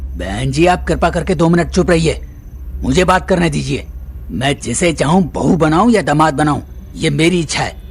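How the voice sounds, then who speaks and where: A man acting a stern, authoritative middle-aged father with an Indian accent in an audio drama, measured and formally polite. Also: on the high side, creaky, slightly rough.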